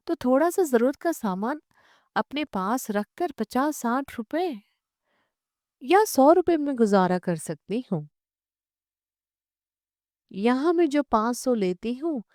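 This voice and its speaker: Woman reading as a weary, disillusioned office woman, unhurried. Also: on the high side, a little mellow, no echo, studio quality. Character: weary, disillusioned unmarried woman office worker, restless and earnest.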